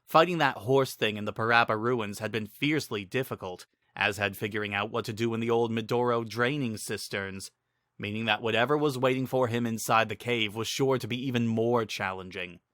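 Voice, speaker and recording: A man's voice: lowish voice, brisk, fairly bright tone, no room sound, clean studio sound. A man giving a dramatic scripted narration of a fantasy adventure story.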